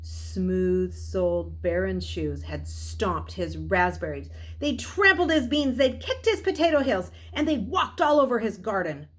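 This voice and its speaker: A middle-aged woman reading a novel aloud as a storyteller, in expressive narration. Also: mid-range voice, unhurried, few pauses. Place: small furnished room at home, indoors.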